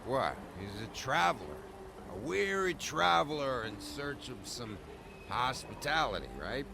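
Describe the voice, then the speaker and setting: An actor playing a bitter, sardonic, foul-mouthed drunk with a gravelly voice in a video game. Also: male voice, medium pitch, big pitch swings, a little slow, background noise. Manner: sardonic, confrontational. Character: belligerent, foul-mouthed male barfly, bitter and intoxicated.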